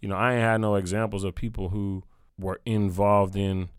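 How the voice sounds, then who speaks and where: A man's voice: lowish voice, level tone, normal speed, smooth, no echo, studio quality. A man from Nova Scotia, a guest in a casual interview, talking directly and emphatically in streetwise phrasing. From Nova Scotia, Canada.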